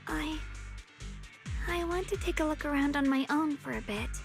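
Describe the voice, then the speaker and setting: A woman's voice: sits high, moderate pace, creaky. A woman voicing a wistful, subdued young woman in a video game, with music behind her. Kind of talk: scripted game voice acting.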